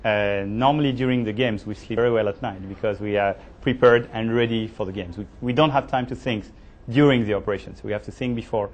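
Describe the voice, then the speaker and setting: A man's voice: on the low side, steady tone, brisk, muffled recording, a little hiss or hum. A man speaking as a company executive in an interview, emphatic with somewhat uneven grammar. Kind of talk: interview statement.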